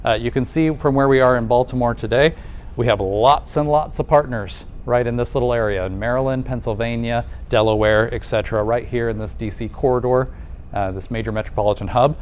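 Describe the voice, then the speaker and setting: Energetic man presenting to a room. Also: mid-range voice, brisk, slight background noise. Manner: energetic, conversational, many rhetorical questions. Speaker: presenter at a nursing college welcome event. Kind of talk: live presentation to a room and an online audience. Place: room with a live audience.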